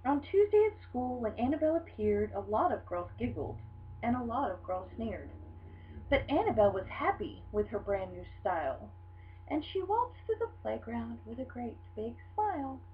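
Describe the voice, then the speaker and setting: A woman reading a children's picture book aloud in an expressive, dramatic storytelling style. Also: fairly high pitch, normal speed. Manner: animated, dramatic storytelling delivery. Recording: dull lo-fi sound with hum.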